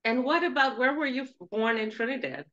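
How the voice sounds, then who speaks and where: A woman's voice: on the high side, animated, a little fast, pushed and bright, dull audio. A middle-aged woman hosting a podcast interview over a video call, with lots of encouraging acknowledgments. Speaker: podcast host.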